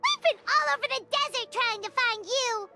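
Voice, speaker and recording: A woman voicing a tiny, feisty, childlike fairy companion in a video game, excitable and exclaiming. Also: very high-pitched, normal speed, vocal fry, over music. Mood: excited. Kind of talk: video game cutscene acting.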